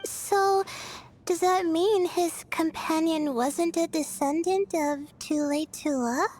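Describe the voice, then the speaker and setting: A woman's voice: extremely high pitch, takes it slowly, a little mellow. An actress playing a timid, polite young student girl in a video game, with a hesitant, questioning manner. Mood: puzzled, surprised.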